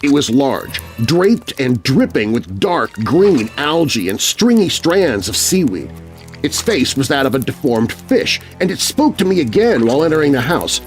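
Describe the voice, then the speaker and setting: A man narrating a scary children's story in an expressive, suspenseful, scripted style. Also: medium pitch, a little slow, faint music behind. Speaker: narrator, voice artist.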